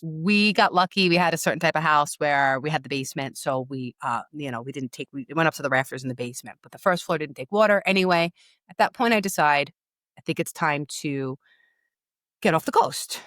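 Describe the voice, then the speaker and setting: A woman's voice: low-pitched, a bit bright, no echo. Middle aged lady w new york accent, telling her story on a podcast, candid n emphatic, dry sound. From New York, USA. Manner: candid, emphatic, repeats words for stress. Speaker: podcast guest, loss and empowerment coach. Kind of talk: personal storytelling in a podcast interview.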